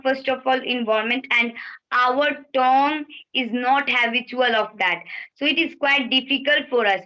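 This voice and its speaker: A woman's voice: sits high, pushed and bright, muffled recording. A woman with an Indian accent, a native Bhojpuri speaker, giving patient, encouraging advice on a video call and repeating her key points. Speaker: English practice partner. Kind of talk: encouraging casual conversation.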